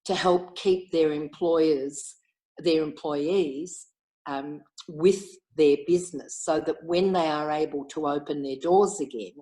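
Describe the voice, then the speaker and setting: An older woman with an Australian accent and a notably low voice. She talks quickly and effusively in a relaxed, chatty way. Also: poor audio. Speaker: interviewee, retired club member. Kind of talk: casual video call conversation.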